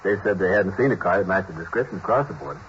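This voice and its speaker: An actor playing a steady, businesslike police detective partner in a 1950s radio drama. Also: male voice, deep voice, natural voice. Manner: brisk, businesslike reporting. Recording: muffled old radio broadcast with hiss.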